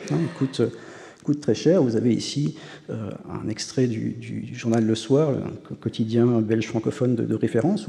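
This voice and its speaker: A man's voice: mid-range voice, creaky, dark tone. Hesitant man lecturing in a hall. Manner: hesitant, many "euh" fillers and restarts. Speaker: university professor giving a conference talk. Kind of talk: academic lecture with slides. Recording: long reverb of a lecture hall.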